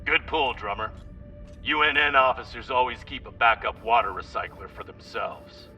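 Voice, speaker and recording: An actor playing a brusque, commanding captain of a scavenger spaceship crew in a video game, gruff and profane. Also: male voice, mid-range voice, normal speed, sharp tone, recorded from a distance, lo-fi sound, soft background music. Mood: dry, gruff. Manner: gruff, profane, barking orders. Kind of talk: video game character acting.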